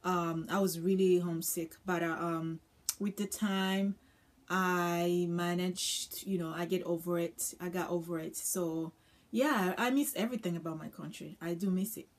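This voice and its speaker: A young woman vlogs in a small room, her voice a little on the low side. She speaks, unhurried, filling gaps with ums and uhs. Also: fairly even intonation. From Congo. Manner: chatty, with many ums and uhs. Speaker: vlogger. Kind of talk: casual talk to camera. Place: small indoor room with floral curtain.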